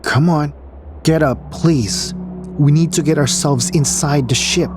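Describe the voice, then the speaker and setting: A man's voice: on the high side, unhurried, music in the background, natural voice. An actor playing an exasperated spaceship crewman, pleading and insistent, in a sci-fi audio drama. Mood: exasperated, urgent.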